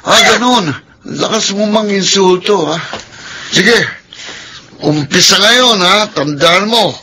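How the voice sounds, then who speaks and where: A man's voice: high voice, slow, sharp tone, full character voice. A man playing a brash, domineering, lecherous husband and employer in a Filipino radio drama. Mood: offended, defiant. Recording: faintly noisy radio drama recording.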